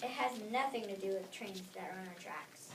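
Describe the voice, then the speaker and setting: A woman's voice: mid-range voice, moderate pace, natural voice. A girl of about eight or nine reading the part of a child who tells a family story, in an earnest school readers theater style. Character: grandparent-taught child telling great-grandpa's escape story. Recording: echoey noisy room from far off.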